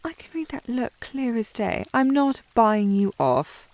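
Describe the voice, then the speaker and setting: Casting: actress as prim, snooty young lady of the manor, British accent, fry-edged voice, coy and prickly, intimate period ASMR roleplay. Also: on the high side, sing-song, a little slow, a little mellow, no echo. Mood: haughty, insistent. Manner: prim, snooty, formal phrasing with coy indirectness. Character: haughty, flustered young noble lady of the house, coy. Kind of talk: intimate ASMR historical romance audio roleplay.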